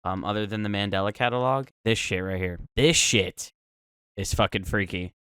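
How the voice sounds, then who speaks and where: A man's voice: fairly low pitch, moderate pace, fairly bright tone, clear, resonant tone, no echo, clean studio sound. A man giving casual, slangy, profanity-laced reaction commentary as a YouTuber. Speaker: YouTuber reacting to horror videos.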